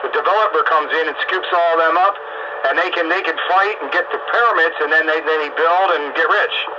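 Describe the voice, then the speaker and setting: Man, amateur radio operator, casual chat, slightly high pitch, wry grumbler, clear static behind him. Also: normal speed. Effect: two-way radio transmission. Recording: muffled, noisy ham radio transmission. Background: clear radio static and noise.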